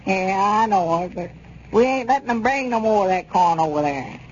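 An actor playing a fretful, stubborn elderly storekeeper with a folksy rural Southern drawl in a 1930s radio comedy. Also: male voice, sits high, animated, normal speed. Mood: fretful, defensive. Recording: noisy, dull 1930s radio recording.

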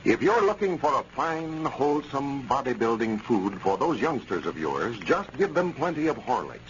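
A male announcer reads a sponsor message in 1930s radio style. His pitch moves in wide, animated swings. The recording is muffled with faint scratchy noise. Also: normal speed.

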